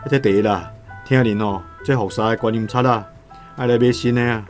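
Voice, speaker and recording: Man acting humble Taiwanese vegetable seller, medium pitch. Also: steady tone, a little fast, faint music behind, close to an everyday voice. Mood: hopeful. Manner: deferential, colloquial, sighing. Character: humble, honest, poor vegetable seller and father. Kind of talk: radio drama acting.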